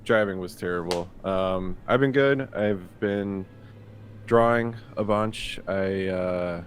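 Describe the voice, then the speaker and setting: A man chatting casually as a player on a tabletop show, with a halting, pausing delivery and faint noise on the mic. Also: low voice. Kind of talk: casual chat.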